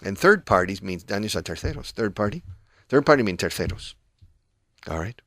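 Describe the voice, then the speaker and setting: A man's voice: on the low side, big pitch swings, a little fast, fairly bright tone, dry recording, studio quality. A middle-aged man hosting a radio English lesson, patiently explaining phrases and switching between two languages. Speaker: English teacher and radio host.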